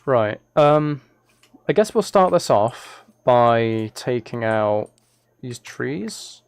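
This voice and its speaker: A man live streamer talks in a medium-pitched voice as he plays. He thinks aloud in loose, hesitant, rambling sentences, chatting casually with whoever is watching. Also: lively intonation, drawn-out words, clear, resonant tone. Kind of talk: casual live stream chat while gaming.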